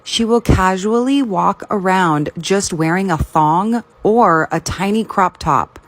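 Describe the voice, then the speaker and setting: A woman's voice: medium pitch, slow pace, dry recording. A woman narrating first-person stories from a script. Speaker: story narrator.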